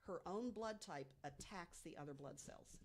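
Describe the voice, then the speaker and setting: Woman, low register, podcast panelist. Deliberate conversational storyteller who leans on pauses and rhetorical questions. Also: lively intonation, slight background noise. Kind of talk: conversational storytelling about mysteries.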